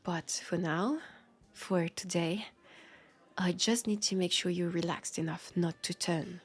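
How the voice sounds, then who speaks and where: Slow, breathy French vampire woman, acted. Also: on the low side, steady tone. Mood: guarded, firm. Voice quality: breathy, soft. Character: wary, grieving centuries-old female vampire detective. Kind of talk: intimate scripted audio roleplay, addressed to listener. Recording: music under the voice. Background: music.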